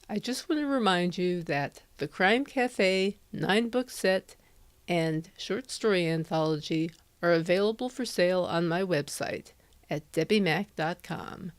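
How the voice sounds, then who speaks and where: A woman's voice: lowish voice, no room sound. A woman hosting a podcast, giving a plain introduction into a close microphone. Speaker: podcast host. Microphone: close handheld dynamic USB microphone.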